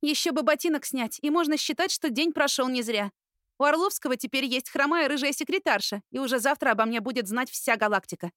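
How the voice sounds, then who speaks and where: Woman voicing a playful, high-voiced older sister. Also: brisk, no room sound, clean studio sound. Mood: relieved, wry. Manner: playful, animated. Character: caring, playful older sister, motherly and cheeky. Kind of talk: audiobook character acting.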